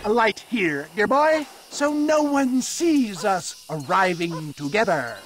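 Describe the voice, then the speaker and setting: A very high-pitched, swooping old snake-oil salesman from a western video game. He is pompous and flowery, rolling out grand phrases at an unhurried pace like a born huckster. He wheedles and flatters with theatrical flair. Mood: showman's pitch. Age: older. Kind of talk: dramatic video game acting.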